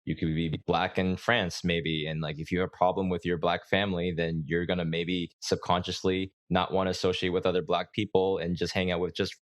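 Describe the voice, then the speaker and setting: A man hosting a podcast, talking in a relaxed, reflective way and thinking aloud with frequent restarts. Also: deep voice, brisk, hardly any pauses, no echo. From United States. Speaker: podcast host. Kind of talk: casual conversational interview.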